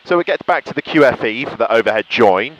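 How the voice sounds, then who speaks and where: A man's voice: medium pitch, fast, poor audio. A man flying a light aircraft, giving a casual running commentary to himself. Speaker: student pilot vlogging in flight. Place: small light aircraft cockpit in flight. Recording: steady light-aircraft engine noise. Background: aircraft engine noise.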